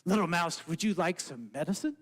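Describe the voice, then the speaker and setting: A man voicing a calm, wise old male frog who speaks slowly and quietly. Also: fairly high pitch, long reverb tail, pitched below the performer's own voice. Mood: solemn. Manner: slow, hushed, solemn.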